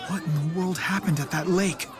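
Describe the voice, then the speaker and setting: A man's voice: high voice, fairly even intonation, normal speed, over music, a crowd in the background, lightly acted. An actor playing an earnest, polite and curious young man in a video game. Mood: startled.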